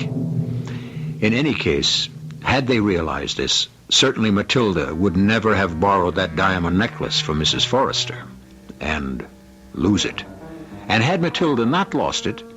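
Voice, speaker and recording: A man's voice: deep voice, a bit bright. A man hosting an old-time radio mystery, narrating from a script in a theatrical, portentous manner.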